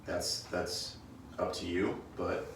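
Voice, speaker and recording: A man's voice: deep voice, monotone, slow pace, fairly bright tone. A man hosting a casual interview, talking conversationally and laying out his points. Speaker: podcast host, gym coach. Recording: echoey room, distant mic, faint noise.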